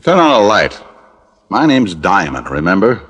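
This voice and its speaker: Hard-boiled detective narrator; low, animated, dry wit, 1950s radio. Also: male voice, normal speed, slightly rough, full character voice. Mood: wry. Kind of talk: 1950s radio drama acting.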